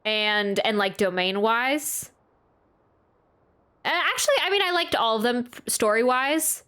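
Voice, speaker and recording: High-voiced woman, casual game streamer. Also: lively intonation, sharp tone, clean tone. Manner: informal, rambling, halting. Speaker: streamer reacting to a video game. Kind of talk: casual live reaction chat.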